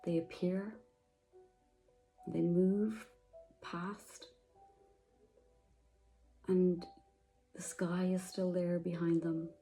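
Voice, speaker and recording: A woman guiding a meditation, speaking softly and slowly with long pauses. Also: fairly low pitch, flat delivery, soft background music, rough recording. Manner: slow, soft, soothing, with long pauses. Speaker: meditation guide. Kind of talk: guided meditation.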